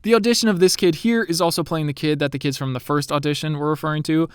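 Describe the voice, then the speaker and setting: Sniffly young man, smooth and dry. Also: fairly high pitch, fairly even intonation, fast, dry recording. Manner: chatty and eager. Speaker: YouTube creator. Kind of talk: casual commentary to camera.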